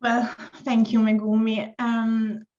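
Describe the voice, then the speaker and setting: A woman with an Italian accent, a panel speaker telling her career story in a reflective way, pausing to search for words. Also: fairly high pitch, completely flat delivery, a little mellow. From Italy. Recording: dull lo-fi audio.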